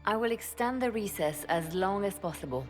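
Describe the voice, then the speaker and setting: An actress playing a composed, devoted female spymaster and adviser in a fantasy game, calm, deliberate and formal. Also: medium pitch, soft background music, natural voice. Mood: calm, wry. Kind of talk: dramatic fantasy game acting.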